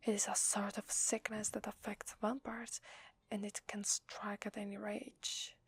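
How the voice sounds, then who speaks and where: A woman with an Italian accent voicing a weary, desperate vampire in a hesitant, breathy ASMR roleplay whisper. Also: medium pitch, level tone. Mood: weary, confiding. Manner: hesitant, slow, confiding. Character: weary, desperate, apologetic young-sounding female vampire.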